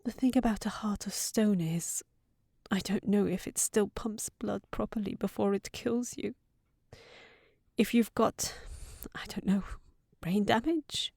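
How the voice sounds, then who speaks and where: Woman acting as young fairytale housekeeper, sensible, secretly lovelorn, tender and fretful, unhurried pace, medium pitch, fantasy audio roleplay. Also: steady tone, creaky, dry recording, studio quality. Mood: fretful, rambling. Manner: tender, fretful rambling with sighs and hushed asides.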